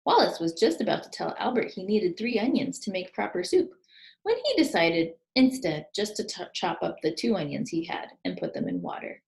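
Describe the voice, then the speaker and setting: A woman reading a children's picture book aloud expressively, pausing to put questions to listeners and point out pictures. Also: medium pitch, very animated, gravelly, a little mellow, poor audio. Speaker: storybook reader, teacher.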